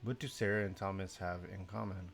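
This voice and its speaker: A man's voice: low-pitched, fairly even intonation, brisk, creaky, soft background music. A young man from Mexico chatting casually on a live stream, sleepy, rambling and self-deprecating, swearing now and then.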